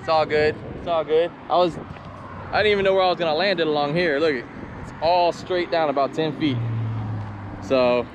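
A man in his thirties vlogging while fishing outdoors, chatting and coaching in a casual, excited way. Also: fairly high pitch, moderate pace. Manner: excited, chatty, hushed when stalking fish. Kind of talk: casual vlog narration and coaching. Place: outdoors by a canal, sometimes in a car. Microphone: action camera. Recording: outdoor camera audio with wind and traffic noise.

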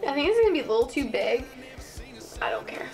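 A woman's voice: sits high, very animated, fast, loud music behind the voice. A young woman with a Southern Ohio twang, chatting casually to her webcam in a small bedroom, bubbly and gushing, full of ums and likes. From Midwest United States. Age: young adult. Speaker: vlogger. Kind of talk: casual chat to camera. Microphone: built-in webcam mic.

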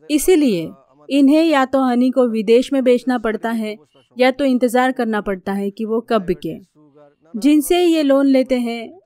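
A woman's voice: on the high side, a little mellow. A woman reading scripted narration for a documentary.

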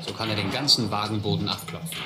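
An actor playing a reflective narrator who recalls his hobo youth in a radio play, measured and literary. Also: lowish voice, fairly even intonation, lightly acted. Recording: roomy, clearly noisy radio play recording.

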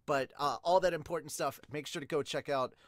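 A man's voice: fairly high pitch, fairly even intonation, brisk, no room sound, clean studio sound. A man in his thirties chatting casually as a podcast host, talking to camera about manga. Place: small bedroom, indoors. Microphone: desk microphone, webcam setup.

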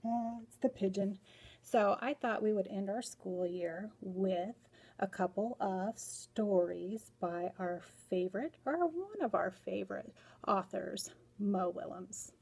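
A woman's voice: medium pitch, takes it slowly, gravelly, a little mellow, breathy edge. An American woman in her forties, a school librarian, explaining things to pupils at a desk in a small room. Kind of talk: instructional chat to pupils.

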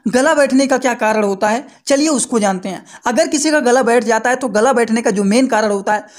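A young man explaining a medical topic to students in a lecture, repeating points and checking with rhetorical questions over his slides. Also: very high-pitched. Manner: repetitive, asks listeners rhetorical checks. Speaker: pharmacy teacher.

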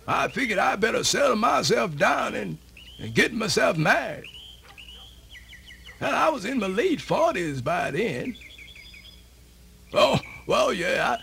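An old Southern storyteller in a radio drama, his high, creaky voice swooping widely. He rambles in a folksy drawl, circling back over his own words. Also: a bit bright, a little hiss or hum, exaggerated voice. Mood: folksy, amused. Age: older. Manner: rambling, repeats himself, folksy. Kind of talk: radio theatre monologue.

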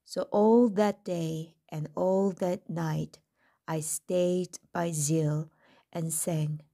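A woman reading a first-person story aloud in a gentle, deliberate manner. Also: fairly low pitch, steady tone, creaky.

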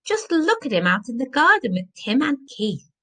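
A woman voicing a friendly, teasing woman with a brisk, wry manner in a story. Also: sits high, sing-song, pushed and bright, slightly rough, pitched above the performer's own voice, full character voice. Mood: bright, welcoming.

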